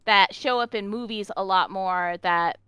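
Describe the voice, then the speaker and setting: A woman's voice: mid-range voice, measured pace, bright, forceful tone, clear, resonant tone, dry recording, studio quality. A woman with an American accent, a chatty and emphatic podcast co-host in casual conversation. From United States. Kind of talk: casual chat.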